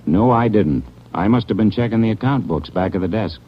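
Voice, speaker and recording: A man's voice: on the low side, lively intonation, lightly acted. An actor playing a nervous, defensive hotel clerk who keeps pleading his innocence, in a 1940s radio drama. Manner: jittery, pleading, quick to protest innocence. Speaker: hotel manager. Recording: dull 1940s radio broadcast.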